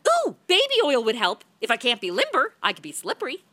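A woman playing a brash, shameless, sarcastic woman who wisecracks deadpan in a comic radio play. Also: sits high, fast talker, no echo, heavily acted. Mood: brazen, cheeky. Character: brash, shameless, self-absorbed sarcastic woman, Miss Standish.

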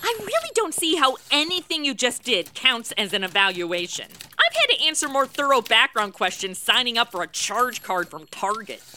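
A woman's voice: a little high, big pitch swings, normal speed, few pauses, sharp tone, slight background noise. An actress playing a blunt, sarcastic, cynical modern woman with a protective streak in a comic radio drama. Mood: mocking. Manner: sarcastic, wisecracking.

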